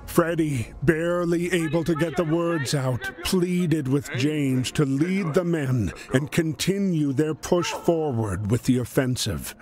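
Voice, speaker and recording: Slow, solemn male narrator over music. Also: a little high. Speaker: narrator of a war story game. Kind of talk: scripted narration. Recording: music behind the voice.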